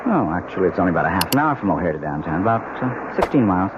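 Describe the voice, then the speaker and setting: Deep-voiced, quick-talking young husband. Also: male voice, big pitch swings. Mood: easygoing. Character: confident, easygoing newlywed husband, a corporate consultant. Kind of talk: old-time radio drama acting. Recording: dull, noisy old radio broadcast.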